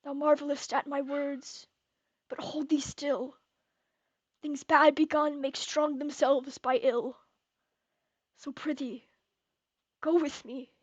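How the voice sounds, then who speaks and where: A young woman acting a brooding, tormented, murder-plotting king in Shakespearean verse, moving between hushed and declamatory delivery. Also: high-pitched, flat delivery, slow pace, pauses often, no room sound, muffled recording. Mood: brooding, secretive. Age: young adult.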